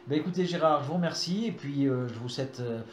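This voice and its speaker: A man's voice: on the high side, fast talker, a crowd in the background. A man conducting a casual interview, polite and often rephrasing to check that he has understood. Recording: roomy echo, faint background noise.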